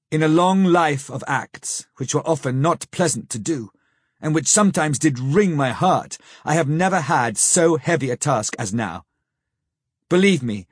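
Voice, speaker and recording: A man voicing an old male professor who speaks gravely, sternly and with pity, in slow, solemn, pleading phrases for an audiobook. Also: medium pitch, no echo, clean studio sound, lightly acted. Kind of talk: audiobook character reading.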